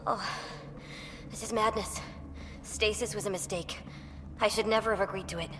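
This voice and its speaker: A woman's voice: medium pitch, fairly even intonation, moderate pace, lightly acted. A woman voicing a proud, troubled female alien leader in a video game. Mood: bitter, troubled. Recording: reverberant hall with faint noise.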